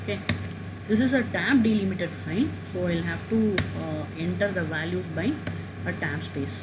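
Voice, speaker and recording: A woman teaching a software tutorial over a screen recording, talking haltingly with many "uh" and "okay" fillers. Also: fairly low pitch, background noise. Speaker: tutorial instructor.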